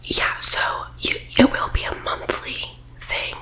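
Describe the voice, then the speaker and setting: A woman doing a soft-spoken, whispered ASMR comedy roleplay as a pushy, self-important MLM boss, with condescending, salesy patter. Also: a little slow, low-quality recording.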